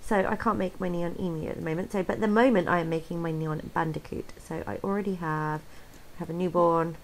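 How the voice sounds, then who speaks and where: A young woman co-hosting a gameplay video, chatting in a bubbly, excitable way. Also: lowish voice, a little fast, slight background noise, low-quality recording. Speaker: co-host of a gaming channel. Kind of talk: casual gameplay chat.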